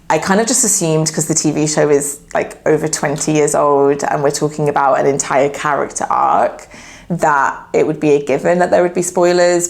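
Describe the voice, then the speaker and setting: Low, gravelly-voiced woman with a cold. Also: a little mellow. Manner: chatty, repeats filler words like yeah and like. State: slightly nasal from a cold. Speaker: therapist YouTuber. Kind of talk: casual talk to camera.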